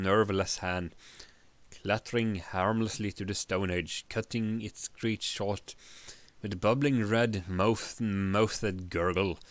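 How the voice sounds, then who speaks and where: A man's voice: lowish voice, no echo. A man reading pulp fantasy prose aloud as a theatrical narrator, sometimes stumbling over his words. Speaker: reader.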